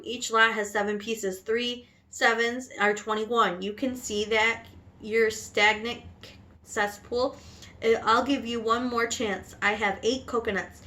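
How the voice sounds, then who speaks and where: A woman's voice: mid-range voice, fairly bright tone, close to an everyday voice. A woman voicing a bullying, furious headmistress who hurls insults, reading a children's story aloud. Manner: roaring, spitting out insults slowly.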